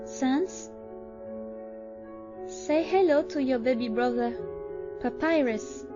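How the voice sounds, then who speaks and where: A woman plays a gentle skeleton mother in a cartoon dub, doting and reassuring. Her voice is high and slow, with clear music playing underneath a slightly muffled recording. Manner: tender, reassuring, playful. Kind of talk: animated comic dub.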